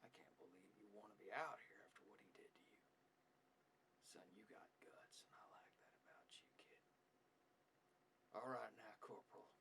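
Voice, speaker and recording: A man playing a gruff, folksy army sergeant in a soft-spoken military ASMR roleplay, often dropping toward a whisper. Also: on the low side, moderate pace, pauses often. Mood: hushed, confiding. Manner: drawling folksy talk, calls listener kid and son. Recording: distant mic, noisy ambient background.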